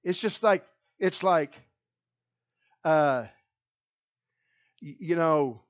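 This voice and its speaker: A middle-aged man with a Kentucky accent preaches in a small church hall, pacing the low stage with a handheld mic. His voice sits high for a man, and he speaks in an animated way, often circling back to repeat his words. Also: drawn-out words, clean tone. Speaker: pastor. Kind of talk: lively sermon. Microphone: handheld mic, filmed by a far wide camera.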